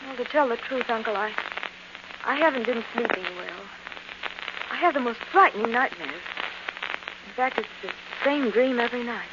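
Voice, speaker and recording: A woman's voice: on the high side, expressive, normal speed, long pauses, close to an everyday voice. A woman playing an anxious, earnest young niece in 1940s radio melodrama, plaintive and hesitant. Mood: troubled. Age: young adult. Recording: noisy, muffled 1940s radio recording.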